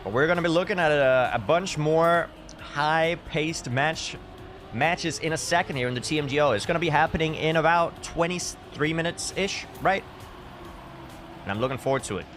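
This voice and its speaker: A man's voice: fairly high pitch, normal speed, fairly bright tone. Man casting a game stream over music. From Denmark. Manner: dry, tongue-in-cheek joking. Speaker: esports caster and streamer. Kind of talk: casual live stream chat with game commentary.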